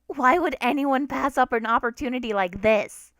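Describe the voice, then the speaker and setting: A woman's voice: sits high, normal speed, a bit bright, no room sound, studio quality, voice pushed up. A woman voicing a fake-friendly, murderous talking flower in a storybook reading, drawing out words in an emphatic, mock-sweet manner. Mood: menacing, gleeful. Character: sinister talking flower feigning cute kindness, gleefully murderous.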